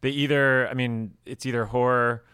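A man's voice: medium pitch, flat delivery, normal speed, sharp tone, clear, resonant tone, dry recording, studio quality. A man co-hosting a podcast in casual chat, enthusiastic and rambling as he reacts to a guest. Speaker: podcast co-host.

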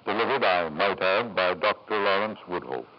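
A man's voice: low-pitched, moderate pace, lightly acted. An actor playing a middle-aged titled father, proud and self-justifying, with an urbane, insistent manner in a 1950s radio drama. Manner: urbane, insistent, repeats questions for emphasis. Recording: dull vintage radio recording.

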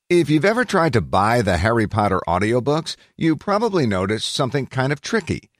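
A man's voice: on the low side, no room sound, clean studio sound. A man reading scripted tech news narration as a podcast narrator.

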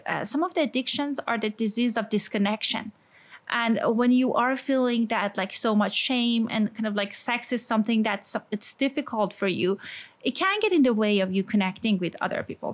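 A woman with a Persian accent, a psychologist and sex therapist, answering interview questions thoughtfully, often pausing with "kind of" and "like". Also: medium pitch. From Iran. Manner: thoughtful, many fillers like "kind of" and "like".

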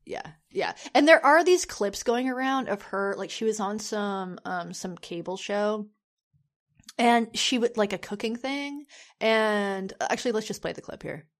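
A woman's voice: medium pitch, unhurried. A woman co-hosting a podcast in casual chat, rambling with many fillers and restarts. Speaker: podcast co-host.

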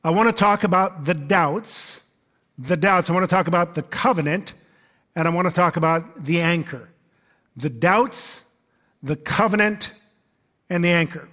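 A man preaching to a church congregation, with a high-pitched voice for a man. He sounds a little hoarse from losing his voice, and he talks in an easygoing, chatty way with plenty of fillers. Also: moderate pace, pauses often, a bit bright. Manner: casual, conversational, uses many fillers. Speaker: pastor. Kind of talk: sermon.

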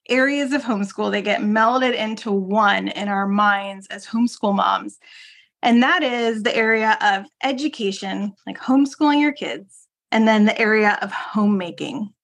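A woman's voice: mid-range voice, fairly bright tone. A woman co-hosting a podcast in a casual chat, talking freely with fillers, restarts and frequent laughs. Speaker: podcast co-host.

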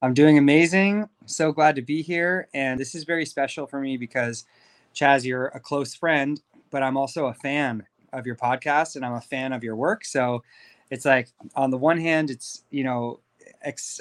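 A man's voice: medium pitch, steady tone, moderate pace. American man, thirty, candid podcast guest. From Los Angeles, United States. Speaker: podcast guest, life coach. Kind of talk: conversational personal life story.

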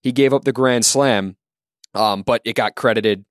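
A man co-hosting a sports podcast, methodically reading through pitchers' stat lines. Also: moderate pace, no echo, clean studio sound. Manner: steady, listing numbers methodically. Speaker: co-host.

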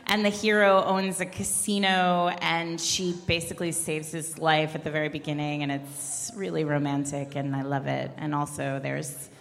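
A woman's voice: low-pitched, level tone, moderate pace, creaky, hardly any pauses, fairly bright tone. A woman podcast co-host giving casual book recommendations on a live panel. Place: large room with a live audience, indoors. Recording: echoey hall with long reverb.

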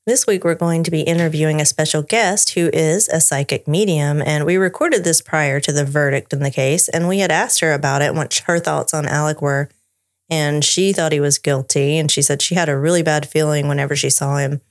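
Low-voiced middle-aged woman host. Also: fairly even intonation, a little fast, dry recording, clean studio sound. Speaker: podcast co-host. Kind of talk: casual podcast chat.

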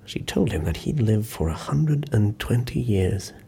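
Low, gravelly man telling an eerie tale. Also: big pitch swings, a little slow, mellow, exaggerated voice. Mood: wry, building. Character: sombre man recounting an eerie old anecdote. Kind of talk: audio drama acting, confiding storytelling.

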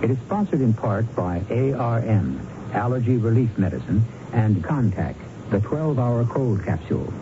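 A man's voice: mid-range voice, animated, moderate pace, background noise. An American man hosting a 1970s radio mystery series, narrating in a dramatic, portentous and wry way. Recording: dull lo-fi radio, loud music behind.

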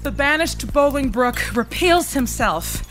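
A woman's voice: high-pitched, lively intonation, measured pace. A woman acting a grave courtier bearing bad news in a Shakespearean radio drama. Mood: grave, urgent. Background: music.

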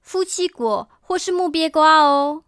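Radio-play shop clerk, young and female, woman acting: high voice, dry close sound, patient, gentle, encouraging. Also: expressive, a little slow, dry recording. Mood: friendly, helpful. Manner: gentle, encouraging, explaining to a child. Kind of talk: amateur radio-drama acting.

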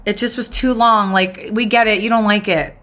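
A woman guest on a chat show, talking in an expressive way and laughing often. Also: medium pitch, moderate pace. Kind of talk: casual chat.

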